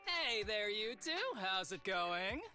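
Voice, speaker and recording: A man's voice: high-pitched, very animated, takes it slowly, music in the background, exaggerated voice. A man voicing a laid-back, teasing young executive officer in a video game, languid and theatrical. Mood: languid, playful.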